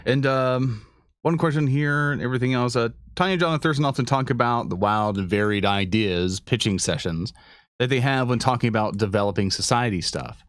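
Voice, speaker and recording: A man with a mid-pitched voice hosts a casual livestream chat. He reads audience questions and banters informally, often restarting his sentences. The recording is dry, without noticeable echo. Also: a little fast. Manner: informal, joking, loses his thread. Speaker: stream host reading audience questions.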